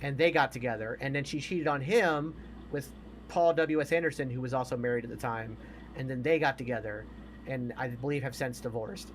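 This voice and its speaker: A man's voice: medium pitch, fairly quick, a little hiss or hum, rough recording. A man in his forties chatting casually as a podcast co-host, opinionated and emphatic, repeating himself to make a point. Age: 40s. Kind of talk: casual chat about movies.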